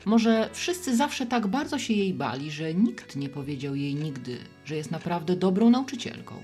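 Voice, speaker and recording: Actress doing a chatty middle-aged bar lady, really low voice, swoopy intonation, friendly and teasing, radio play. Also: big pitch swings, normal speed, faint music behind. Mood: gently reflective. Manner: conversational, gently probing and teasing. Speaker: barkeeper.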